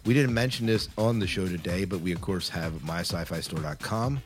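A very low-pitched man hosts a casual podcast. He talks informally and wordily, explaining as he goes. Music plays clearly behind his voice. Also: brisk. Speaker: podcast host. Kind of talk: casual chatty podcast hosting. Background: loud music bed.

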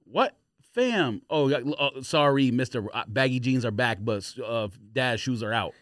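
A man's voice: medium pitch, expressive, normal speed, a bit bright, dry recording, clean studio sound. A man co-hosting a podcast, chatting casually and teasing his co-host in slangy banter. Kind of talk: casual sneaker chat and banter.

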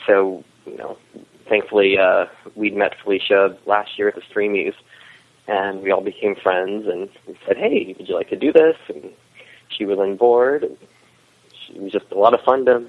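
A man's voice: on the low side, normal speed. A man chatting casually as an interview guest, explaining his creative work. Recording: tinny, muffled phone-like call audio.

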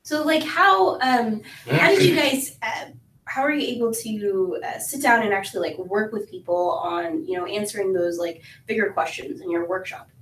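A woman's voice: mid-range voice, normal speed, a bit bright. Woman co-host in an echoey room. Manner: casual, filler-heavy questions. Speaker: podcast co-host.

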